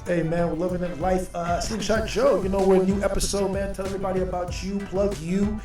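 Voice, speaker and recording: A man hosting a podcast, from Jamaica, talking in a hyped, casual way and shouting out guests and sponsors. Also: sits high, fairly even intonation, brisk, echoey room, distant mic, music in the background. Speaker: podcast host.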